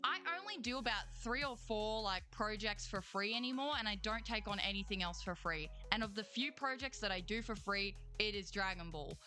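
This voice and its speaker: A woman's voice: medium pitch, sharp tone, soft background music. A young Australian woman streamer and voice actor, ranting casually to chat with lots of slang and swearing. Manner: swears freely, slangy, quick emotional swings. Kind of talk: casual livestream rant and chat reading.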